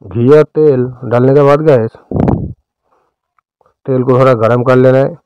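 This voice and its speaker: A man with an Indian accent narrating a recipe step by step as a cooking host, in a smooth, clear voice. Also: mid-range voice, steady tone, a little slow, no echo, lo-fi sound.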